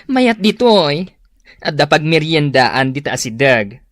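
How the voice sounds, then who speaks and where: Low, slow Filipina parlor worker. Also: female voice, very animated, dry recording, clean studio sound. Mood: chummy, eager. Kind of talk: Filipino radio drama acting.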